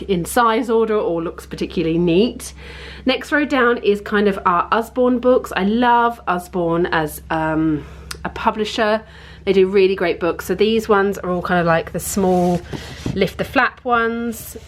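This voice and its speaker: A woman's voice: mid-range voice, a bit bright, slight background noise. A woman giving a casual, informal tour at home, explaining things in a chatty way as a parenting vlogger. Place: indoors at home, in a playroom.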